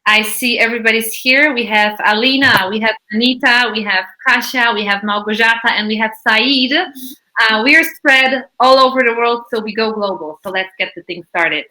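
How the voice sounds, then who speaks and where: Bright-voiced woman moderating a panel. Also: on the high side, normal speed, low-quality recording. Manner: upbeat, enthusiastic, warm welcome. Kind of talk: online panel hosting. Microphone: video call.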